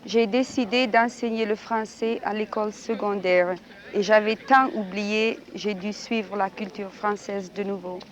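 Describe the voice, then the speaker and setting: Flat-voiced French-born teacher, a woman. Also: medium pitch, measured pace. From France. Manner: brief, plain answers. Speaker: secondary school teacher. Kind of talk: archival radio interview answers.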